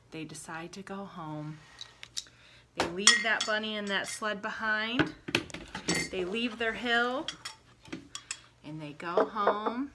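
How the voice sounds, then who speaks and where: A woman teacher retelling a story with props for young children, speaking simply, asking the listener questions and acting out exclamations in an animated way. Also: mid-range voice, heavy background noise.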